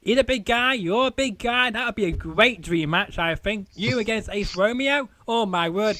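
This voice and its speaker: A man hosting a casual interview show, chatty and rambling, with a croaky voice. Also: high voice, moderate pace, pushed and bright, no room sound. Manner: rambling, chatty, many fillers. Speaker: podcast host.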